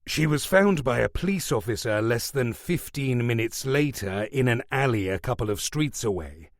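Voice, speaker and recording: Narrator as a calm male detective: level, matter-of-fact. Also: medium pitch, moderate pace, dry recording, studio quality, close to an everyday voice.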